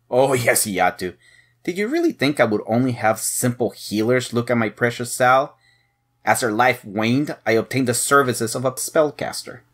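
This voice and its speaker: An actor playing a shrewd, suspicious head agent, probing and mocking, in an audio drama. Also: male voice, medium pitch, expressive, normal speed, a bit bright. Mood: accusing. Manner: probing, sardonic.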